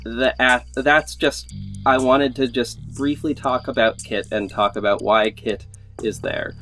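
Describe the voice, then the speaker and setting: A man's voice: medium pitch, steady tone, measured pace, fairly bright tone. A man hosting a podcast, talking casually to listeners in a hesitant, rambling, gushing way about behind-the-scenes matters. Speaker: podcast host, audio drama creator and director. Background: music under the voice.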